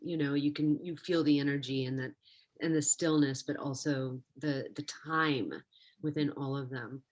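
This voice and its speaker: A woman's voice: sits low, steady tone, normal speed. A woman interviewing on a video call, chatting casually as a curator, effusive and thinking aloud. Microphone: video-call microphone.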